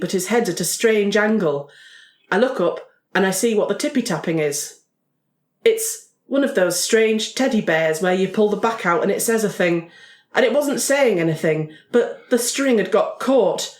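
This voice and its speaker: A woman's voice: medium pitch, echoey room, close to an everyday voice. A woman playing a quirky, matter-of-fact investigator who tells her case in the first person with rambling asides. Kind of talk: first-person improvised audio drama narration.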